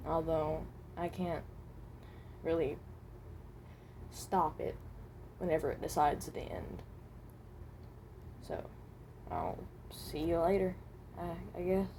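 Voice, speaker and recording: A woman playing a hesitant, mild-mannered traveler from another dimension, speaking haltingly and often rephrasing in improvised character voice lines. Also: low-pitched, lively intonation, mellow, slight background noise, full character voice. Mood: hesitant, reassuring.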